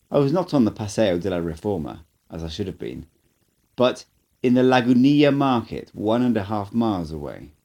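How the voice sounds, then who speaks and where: A man narrates a first-person tale in a lowish voice that swings widely in pitch. He reads as a hobby audiobook narrator, with an expressive, dramatic delivery. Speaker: amateur audiobook reader.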